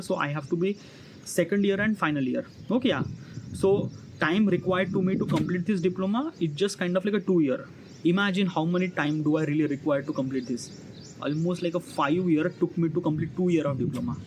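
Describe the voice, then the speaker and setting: Indian guy early 30s, kinda high voice, rambling personal vlog, halting w lots of filler, birds chirping and some noise behind him. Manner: halting, repetitive, filler-heavy with 'kind of like'. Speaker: vlogger. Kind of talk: unscripted personal monologue to viewers. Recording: noisy ambience with birdsong. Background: chirping birds, ambient noise.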